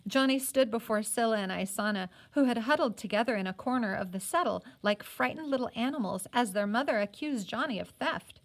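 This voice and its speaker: A woman reading a children's novel aloud as a teacher would, in an expressive storybook style. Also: on the high side, moderate pace. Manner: acts out the characters' speech.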